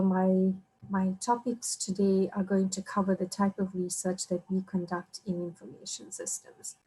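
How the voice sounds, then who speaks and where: A woman from South Africa speaks as a guest lecturer in an online workshop. Her voice is mellow and a little husky, and she comes across over a video call. She explains politely, with frequent ums. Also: medium pitch, low-quality recording.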